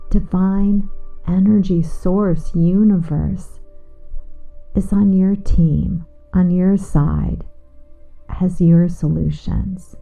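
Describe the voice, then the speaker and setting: A woman guides a sleep hypnosis meditation in a soothing, hushed manner, lingering over short phrases with long pauses. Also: lowish voice, vocal fry. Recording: muffled, band-limited, with music and rain. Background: soft ambient music and gentle rain.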